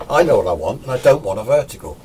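A man's voice: mid-range voice, dark tone, slight background noise. A British man in his sixties giving an informal, opinionated, rambling explanation as a hobbyist presenting a tutorial. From United Kingdom. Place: small indoor radio workshop.

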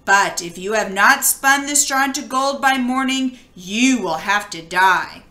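A woman's voice: fairly high pitch, measured pace, pushed and bright, echoey room. A woman voicing a greedy, threatening storybook king who speaks in a commanding manner.

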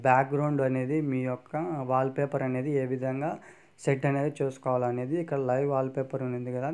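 A man's voice: mid-range voice, darkish tone, smooth. A man hosting a phone app tutorial, talking through each step and constantly repeating fillers and "friends". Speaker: tech tutorial host. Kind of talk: screen-recorded app tutorial.

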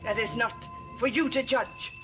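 An actress playing a proud, defiant older sorceress in a theatrical gothic television soap. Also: female voice, a little high, steady tone, normal speed, close to an everyday voice. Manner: imperious, theatrical. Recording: old TV soundtrack, dramatic music underneath.

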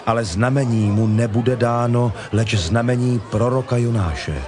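Actor as solemn Jesus preaching over music. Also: male voice, medium pitch, lightly acted. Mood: solemn, grave. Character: Jesus, solemn, stern, authoritative preaching teacher. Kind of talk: dramatized Bible reading in Czech. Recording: music playing behind the voice.

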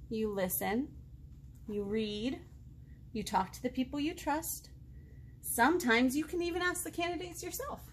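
A woman's voice: sits high, slight background noise. An American woman in her thirties leading a children's storytime, speaking expressively in simple phrases to young listeners. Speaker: children's librarian hosting storytime. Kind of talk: children's storytime read-aloud and chat. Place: small indoor room, seated before a book display.

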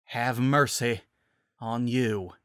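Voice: mid-range voice, drawn-out words, fairly bright tone, no room sound, clean studio sound. A narrator voicing a stern, easily irked female paladin in a fantasy story, solemn and dryly exasperated. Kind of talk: audiobook-style fantasy story character.

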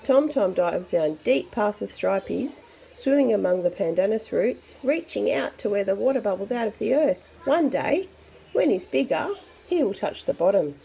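A woman reads a picture book aloud for young children, expressive and chatty with her listeners. Her voice is mellow, with noticeable creak at its edges. It is a simple home recording with faint background noise. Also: mid-range voice, normal speed, low-quality recording. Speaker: storybook reader for children.

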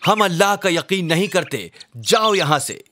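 A man's voice: high voice, very animated, moderate pace, noisy background, voice pushed up. A man voicing a defiant, mocking tribesman in a children's storybook tale, challenging and scornful. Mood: indignant. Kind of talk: storybook character quotes.

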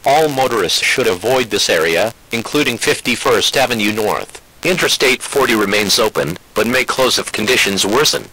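A man's synthetic voice delivers a scripted emergency bulletin. It sits slightly low, with a bright, forceful tone. The delivery is formal and impersonal, like an automated alert announcer. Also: lively intonation, normal speed, hardly any pauses, no echo, low-quality recording.

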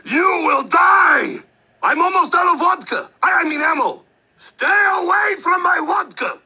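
An actor playing a brutish, angry mutant rhino henchman with a rough, gravelly voice and a Russian accent. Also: extremely high pitch, expressive, a little slow, pushed and bright, shouting, full character voice. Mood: furious.

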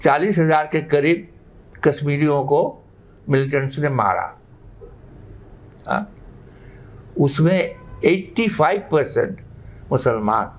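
Older man, gravelly, emphatic interview answers, slightly muffled recording. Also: fairly high pitch, slow, long pauses. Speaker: interview guest. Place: living room indoors. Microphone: lapel mic.

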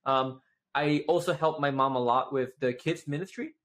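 A man's voice: medium pitch, moderate pace. A man in his twenties from Malaysia, chatting casually as a guest over a video call and talking with his hands. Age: 20s. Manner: animated, gestures with both hands, many "right?" tags. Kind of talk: casual interview chat. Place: home office with shelves.

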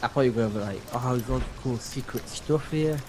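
A man's voice: mid-range voice, quick pace. A man chatting casually as he plays a co-op video game. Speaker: co-op gamer. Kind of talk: casual gameplay chat. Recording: loud game noise behind. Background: loud game sounds and ambience.

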